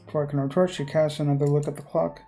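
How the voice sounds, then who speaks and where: A person reading visual novel narration aloud, breaking off for informal, halting commentary. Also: mid-range voice, steady tone, dark tone, faint music behind.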